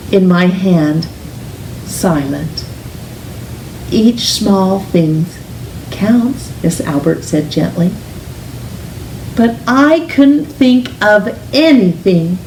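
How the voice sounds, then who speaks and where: A woman reading a children's picture book aloud in an expressive, gentle, deliberate storytelling manner, with drawn-out emphasis on words. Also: on the low side. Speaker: storytime reader, teacher. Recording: roomy, distant mic with faint hiss.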